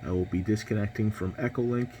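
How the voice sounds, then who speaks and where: A man's voice: on the low side, fairly even intonation, fast, dark tone, slight background noise. A man in his twenties with an American accent, talking casually over ham radio in a hesitant, polite manner. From United States. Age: 20s. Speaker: amateur radio operator.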